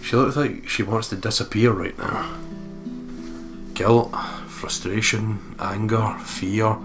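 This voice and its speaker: A man's voice: lowish voice, fairly even intonation, slow. A man hosting a let's play, reading game narration aloud with casual commentary. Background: guitar music from game.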